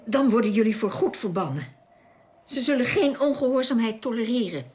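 Radio drama role: firm alien-world guide, played by a woman, highish voice, very varied intonation, instructive delivery. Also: lively intonation, brisk, darkish tone, lightly acted. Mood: firm warning. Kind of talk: Dutch science fiction radio drama acting.

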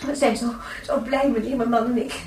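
Actress as high-pitched, gushing, prim mother. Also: fairly even intonation, a little fast, natural voice. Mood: gushing, indignant. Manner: gushing, repetitive, scandalised. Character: overjoyed, prim, indignant teetotal mother of twins. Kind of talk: radio drama, television interview scene. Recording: distant roomy broadcast with crowd.

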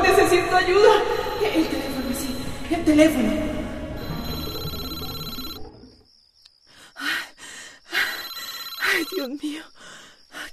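An actress playing an anguished but resolute Costa Rican wife in a radio soap opera. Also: female voice, very high-pitched. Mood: panicked. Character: anguished, resolute wife standing up to abusive husband.

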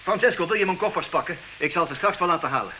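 An actor playing an intelligent, possessive, ironic husband in an old radio play, speaking earnestly in theatrical diction. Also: male voice, high voice, animated, quick pace, rough recording. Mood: instructing. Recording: hissy old radio recording, clear noise.

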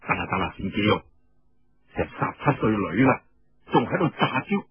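A man's voice: lowish voice, sing-song, moderate pace, slightly rough, pitched below the performer's own voice, exaggerated voice. A man with a Cantonese accent voicing a teasing, easygoing male relative with slangy banter in an audio story. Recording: dull lo-fi radio recording.

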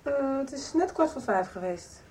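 An actress playing an affectionate, teasing young girlfriend in a radio soap, emotional and a little halting. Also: high-pitched, animated, a little mellow, slight background noise. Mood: curious, teasing.

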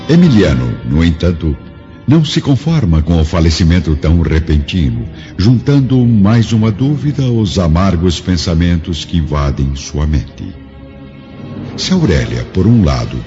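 A man narrates a radio drama in a deep, mellow voice. His delivery is solemn and theatrical, weighty with gravity. Faint music plays under a slightly dull recording.

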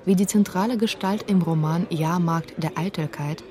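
A woman's voice: lowish voice, normal speed, crowd noise. A woman narrating a scripted radio documentary feature.

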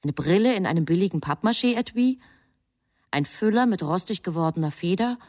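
Female storyteller, lowish voice, composed, deliberate, literary radio play narration. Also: animated, a little mellow. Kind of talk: scripted narration.

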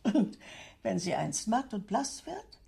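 A woman playing a serene, warm-hearted elderly widowed aunt in a German radio drama, with a husky, creaky voice. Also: medium pitch, big pitch swings, takes it slowly, heavily acted. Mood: fond, serene.

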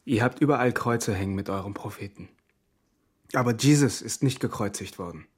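Husky, earnest refugee man. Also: on the low side, brisk. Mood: solemn conviction. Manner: terse, solemn, matter-of-fact. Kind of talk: radio drama acting.

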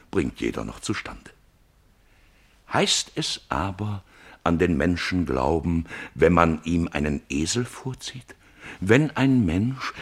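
A lofty, scheming archpriest from an old radio play. His deep, husky voice swings widely as he declaims and bursts into emphatic peaks. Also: heavily acted. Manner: declaiming, rising to emphatic outbursts. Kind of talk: 1950s German radio play acting.

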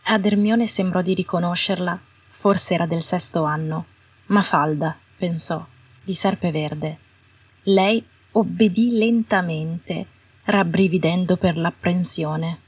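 A woman's voice: lowish voice, slow, husky. A woman reading scripted fiction as an audiobook narrator. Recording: roomy echo, distant from the mic.

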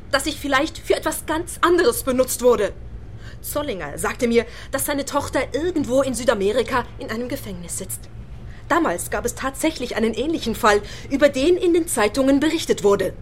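An earnest, dramatic actress, played by a woman in a radio play, with a distinctly high voice. She speaks with theatrical seriousness and pride in her craft, over slight background noise. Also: expressive, moderate pace, natural voice. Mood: troubled. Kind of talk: German-language radio play acting.

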